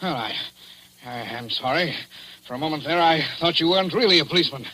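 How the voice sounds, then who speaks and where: An actor playing a nervous traveler, halting and stammering, in 1940s radio drama style. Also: male voice, fairly high pitch, sharp tone. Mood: flustered, apologetic. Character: jittery, apologetic male out-of-town traveler. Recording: faintly noisy old radio recording.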